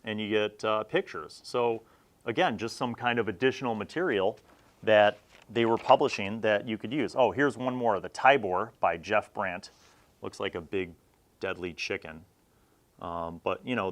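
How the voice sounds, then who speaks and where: A middle-aged man talking casually and conversationally as the host of a review video, rambling a little as he comments. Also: on the low side. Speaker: host of a video series reviewing old roleplaying magazines.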